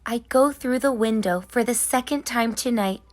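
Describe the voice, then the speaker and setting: A whimsical, busy tooth fairy , voiced by a woman, speaks in sing-song storybook rhyme. She sounds fairly high and gently bossy. Quiet music plays behind her. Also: normal speed, close to an everyday voice. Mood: realizing. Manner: sing-song rhyming delivery. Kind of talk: children's storybook narration in character.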